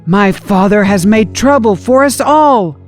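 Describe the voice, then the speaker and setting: A woman's voice: medium pitch, animated, measured pace, faint music behind, lightly acted. A woman voicing a bold, devout young warrior prince in an audio scripture reading, urging and confident. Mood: indignant.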